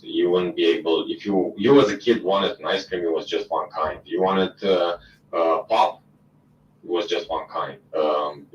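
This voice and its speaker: A middle-aged man from Romania with a Romanian accent, a talk show guest telling his life story in an animated, halting way with many restarts. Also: low-pitched, reverberant, distant mic, dull audio, slight background noise.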